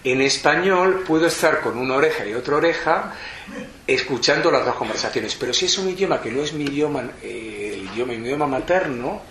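A man gives a live lecture, thinking aloud and restarting phrases as he explains. His voice sits at an ordinary middle pitch. The recording has a roomy echo and a faint hiss underneath. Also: animated, brisk, a bit bright. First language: Spanish. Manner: reflective, explanatory, frequent restarts. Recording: roomy hall echo, faint background noise.

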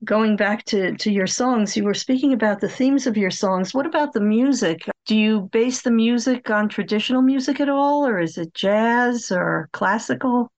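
A woman's voice: fairly high pitch, normal speed, few pauses, darkish tone. A woman interviewer talking conversationally and putting questions to a guest.

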